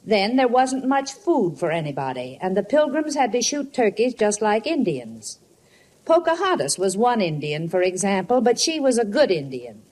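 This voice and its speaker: A woman's voice: medium pitch, very animated, moderate pace, a little mellow. A woman playing a mild, homely middle-aged mother in a 1950s radio comedy. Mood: reading aloud.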